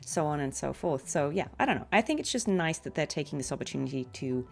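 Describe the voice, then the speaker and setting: A woman's voice: deep voice, animated, fast talker, vocal fry, faint music behind. A woman streamer giving casual game commentary in a chatty, reflective, encouraging way. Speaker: streamer and voice actor.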